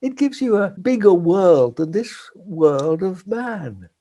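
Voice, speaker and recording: An older Englishman, speaking in a gentle, unhurried way as an interview guest and laughing softly between thoughts. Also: high-pitched, expressive. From England. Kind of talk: reflective interview talk.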